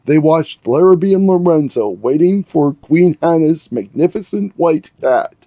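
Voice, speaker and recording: A man narrating a scripted fairy tale as a storyteller, in a measured way. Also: fairly high pitch, lively intonation, no echo, muffled recording. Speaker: author and narrator.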